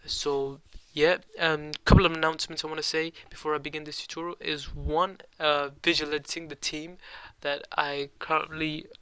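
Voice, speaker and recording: A young man with a cold, hosting a casual software tutorial at his computer and rambling with lots of ums and uhs. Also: fairly high pitch, level tone, moderate pace, hardly any pauses, smooth, poor audio. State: has a cold. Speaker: tutorial host.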